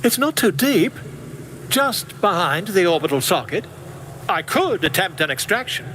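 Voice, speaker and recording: Overconfident eccentric surgeon, high-pitched, wildly animated, florid, genteel, theatrical game character, noisy ambience. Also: male voice, measured pace, full character voice. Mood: eager, boastful. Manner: florid, genteel, self-important. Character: fussy, chatty, overconfident eccentric amateur surgeon.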